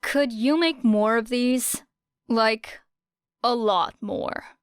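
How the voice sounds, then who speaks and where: A woman's voice: on the high side, drawn-out words, smooth, dry recording, studio quality. A woman voicing a matter-of-fact teenage girl in a plain, practical manner.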